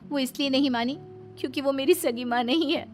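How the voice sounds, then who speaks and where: Woman acting high-pitched, indignant young bride. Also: a little fast, a little mellow, soft background music, close to an everyday voice. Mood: tearful, earnest. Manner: pleading, emphatic. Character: indignant newlywed young daughter-in-law, educated and wronged. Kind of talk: cartoon story dialogue.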